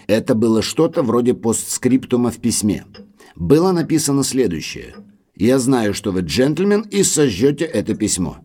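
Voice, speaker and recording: An actor playing a loyal, discreet middle-aged butler in an audio drama, speaking in a measured, respectful, formal way. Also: male voice, mid-range voice, very animated, warm tone, breathy edge. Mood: grave recounting.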